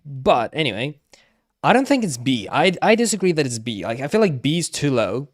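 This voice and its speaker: A man livestreaming casual commentary on game cutscenes, talking haltingly and often repeating himself. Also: medium pitch, sing-song. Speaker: streamer.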